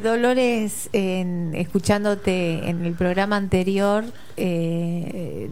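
Slow, hesitant Argentine woman interviewer. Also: lowish voice, a little mellow. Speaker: radio co-host, interviewer. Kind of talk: unscripted interview questioning.

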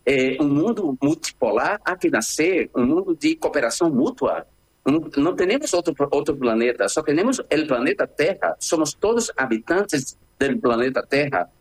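Impassioned husky man, Brazilian Portuguese accent. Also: fairly high pitch, big pitch swings. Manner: impassioned, halting with restarts and fillers. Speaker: interview guest. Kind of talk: remote interview.

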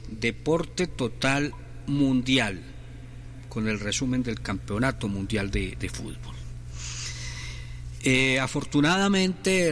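A man's voice: mid-range voice, a bit bright, slight background noise. Chatty sports radio man. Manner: rambling, joking, chatty. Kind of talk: casual radio talk with sponsor reads.

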